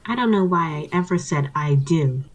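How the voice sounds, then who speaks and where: A woman's voice: deep voice, lively intonation, moderate pace. A woman voicing a disgruntled husband, a small-town cop with a dry, sarcastic, grumbling manner, in an audio short story. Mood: regretful.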